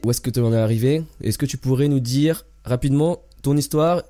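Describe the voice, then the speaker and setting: A hoarse man hosting a podcast, who reads a welcoming scripted intro and then interviews a guest. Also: mid-range voice, no echo, studio quality. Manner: welcoming, steady scripted delivery. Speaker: podcast host.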